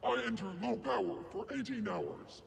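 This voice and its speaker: A literal-minded killer robot for a video game. The voice is high-set and heavily crackling with gravel, processed into a mechanical deadpan. Stiff, clipped phrases carry cold superiority. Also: male voice, normal speed, heavily acted. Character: literal, arrogant, ruthless heavy combat droid.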